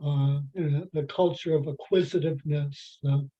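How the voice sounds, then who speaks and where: A participant in an adult faith class discussion, talking in a halting way with many fillers and restarts. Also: mid-range voice, fairly even intonation. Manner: halting, heavy with "you know" fillers and restarts. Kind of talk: group discussion. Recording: dull lo-fi sound.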